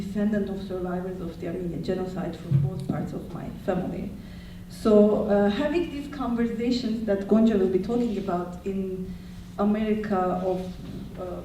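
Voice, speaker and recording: A woman from Turkey, Turkish her first language, talks through history on a university panel, measured and full of small fillers. Her voice is mellow and soft-edged. It reaches the mic from a distance in a hall with heavy echo. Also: medium pitch, slight background noise. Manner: measured, explanatory, frequent uh fillers. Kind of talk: academic panel talk.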